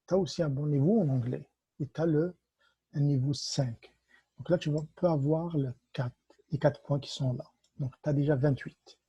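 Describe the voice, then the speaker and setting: A man's voice: on the high side, dark tone, husky, no room sound. A man, casually explaining a process step by step and giving advice straight to the viewer as host of a tutorial video. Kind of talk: casual explainer walking through a website.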